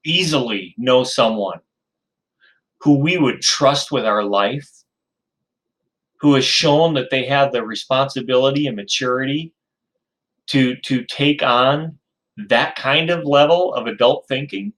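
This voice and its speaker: Man in his fifties, lecturer, mid-pitched voice, casual asides, lots of ums. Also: unhurried, long pauses, fairly bright tone, rough recording. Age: 50s. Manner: chatty, self-deprecating asides, frequent ums. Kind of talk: informal recorded lecture.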